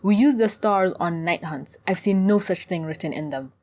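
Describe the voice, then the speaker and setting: Woman acting brash young huntress, raised pitch. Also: moderate pace, a little mellow, lightly acted. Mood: defiant. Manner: blunt, cheeky, casual.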